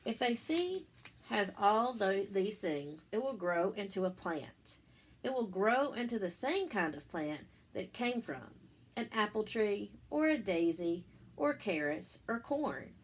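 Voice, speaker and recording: A woman reading a picture book to children like a teacher, in simple, deliberate phrases, pausing to explain and ask questions. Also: mid-range voice, big pitch swings, husky. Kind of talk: read-aloud storytime with explanatory asides.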